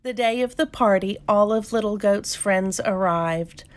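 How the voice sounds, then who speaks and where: A woman narrates a children's storybook in an expressive, scripted read-aloud style. She takes her time with a slow pace and keeps a medium pitch.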